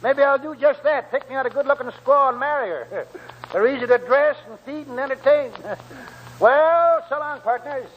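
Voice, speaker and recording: An American man acting a folksy, wily old gold prospector in a 1940s radio drama, with a creaky voice. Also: very high-pitched, moderate pace, highs cut off. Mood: amused, jovial. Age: older. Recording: old 1940s radio broadcast, music behind.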